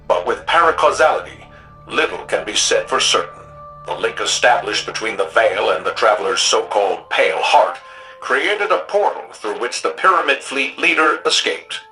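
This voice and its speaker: A man's voice: mid-range voice, sharp tone, low-quality recording. An actor playing a formal, composed male news anchor of a futuristic city in a video game, reading a scripted bulletin. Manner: formal, measured announcing.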